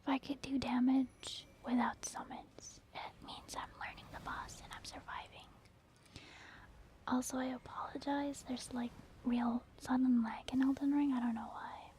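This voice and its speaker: A woman whispering breathy, casual ASMR commentary as she explains her gameplay. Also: sits high, level tone, a little slow, darkish tone. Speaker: ASMR game streamer. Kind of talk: soft-spoken ASMR game commentary.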